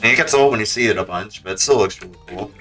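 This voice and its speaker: A man's voice: fairly low pitch, fast talker, sharp tone. A man streaming a game, giving casual live commentary as he plays. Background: game music.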